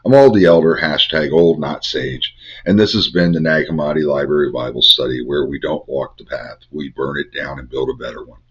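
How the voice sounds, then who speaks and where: Older guy with a head cold, super deep husky voice, slow chanting cadence, dull lo-fi sound. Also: a bit bright. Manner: measured, incantatory delivery in short cadenced phrases. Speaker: Bible study host. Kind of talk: spiritual teaching monologue. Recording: dull lo-fi recording.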